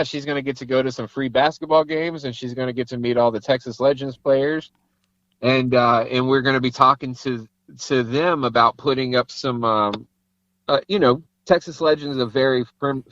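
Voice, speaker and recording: A man's voice: mid-range voice, fairly even intonation, moderate pace, fairly bright tone, no echo, dull audio. A man chatting casually as an interview guest.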